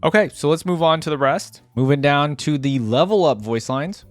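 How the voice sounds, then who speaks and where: A man's voice: fairly high pitch, moderate pace, music in the background. A man hosting a reaction video, giving casual off-the-cuff commentary.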